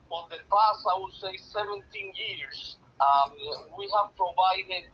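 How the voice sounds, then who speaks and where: Earnest Cuban-accented man, slow and halting. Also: high voice, breathy edge, lo-fi sound. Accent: Cuban Spanish. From Cuba. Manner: earnest, many fillers and halting restarts. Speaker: guest, charity founder. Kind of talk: casual interview chat.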